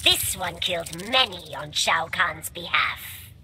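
Cold insectoid female assassin, servile to her master, slow and low, game dialogue over noisy effects. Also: expressive, bright, forceful tone, heavily acted. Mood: cold contempt. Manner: speaks of self in third person, deliberate. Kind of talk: video game fight-intro dialogue.

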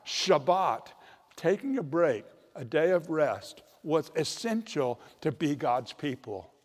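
An older man preaching a sermon with a storytelling manner, slowing down to stress key points, recorded in a large church on a handheld mic. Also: on the high side. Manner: storytelling, varied emphasis, slows for key points. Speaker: priest. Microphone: handheld microphone. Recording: long church reverb.

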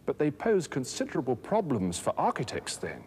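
A man in his thirties narrates an educational documentary with measured, articulate delivery. His voice sits at a medium pitch and moves through lively intonation. The soundtrack has the noise of an old documentary film. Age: 30s. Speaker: documentary presenter. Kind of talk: scripted educational narration on architecture.

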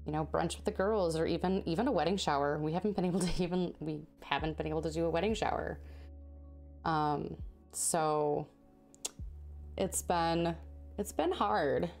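A woman in her thirties with a low voice talks casually to her livestream chat as she stitches. She is chatty, laughs often and has a slightly sore throat. Faint music plays behind her. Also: creaky, darkish tone. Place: indoor home craft room.

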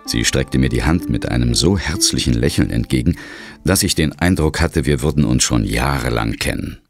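An actor playing a wry, determined ghost-hunting detective in a German audio drama, speaking and narrating in a measured way. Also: male voice, a bass voice, creaky, faint music behind. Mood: grave, measured.

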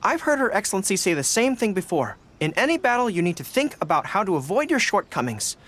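An actor playing an earnest, easily flustered young dog-eared general in a video game. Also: male voice, high-pitched, sing-song, quick pace, a bit bright, exaggerated voice. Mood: earnest, explanatory. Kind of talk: acted video game dialogue. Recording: music behind the voice.

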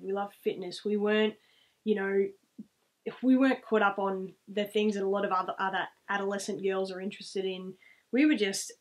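A woman in her thirties with an Australian accent, telling stories casually to camera in a rambling, reflective way. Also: mid-range voice. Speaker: vlogger. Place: small room at home, indoors, seated.

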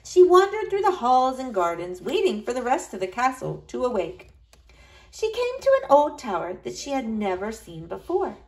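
A woman's voice: fairly high pitch, huge pitch swings, normal speed, low-quality recording. A woman reading a storybook aloud to young children, expressively, in the manner of a school librarian.

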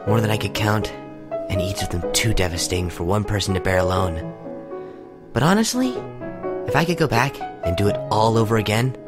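A man's voice: on the low side, animated, fairly quick, natural voice. An actor playing an earnest, geeky young male huntsman student in an audio drama. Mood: nostalgic, wistful. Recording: music under the voice.

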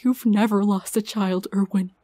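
A woman's voice: medium pitch, steady tone, normal speed, mellow, no room sound, clean studio sound, natural voice. A woman playing a concerned, principled scientist in an apocalypse drama, earnest and reproachful in manner.

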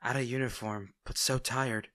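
Guy acting as a lovestruck villain for audio roleplay, nervous n stammery, soft-hearted teasing, really dry close sound. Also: medium pitch, steady tone, normal speed, no room sound, close to an everyday voice. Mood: apologetic, tender. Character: smitten masked male villain, nervous, tender, teasing. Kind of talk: intimate audio roleplay, addressing the listener.

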